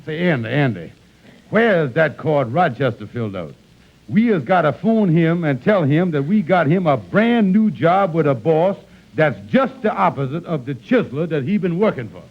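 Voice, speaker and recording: An actor playing a wheedling, folksy hustler who runs an employment agency, hemming and hawing in a 1940s radio comedy. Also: male voice, on the high side, very animated, normal speed. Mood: pleased, scheming. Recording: muffled, noisy 1940s radio recording.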